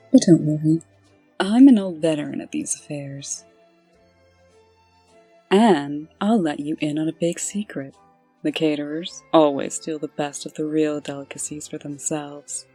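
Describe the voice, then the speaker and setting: A woman playing a brisk, sardonic official in a comic dub, with a dry, pointed delivery. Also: sits low, warm tone, slightly rough, no room sound.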